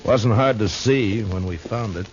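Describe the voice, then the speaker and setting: A man playing a calm, measured frontier marshal who also narrates, in a 1950s radio drama. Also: on the low side, close to an everyday voice. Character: Matt Dillon, steady frontier marshal. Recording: dull lo-fi old radio recording with music.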